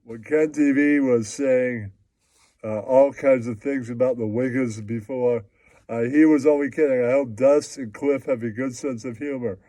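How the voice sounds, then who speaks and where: Guy doing a mellow slow-talking puppet, cocky and sweary, repeats catchphrases, puppet show. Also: mid-range voice, fairly even intonation, no echo, lightly acted, low-quality recording. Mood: mock-earnest. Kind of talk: comic puppet show banter.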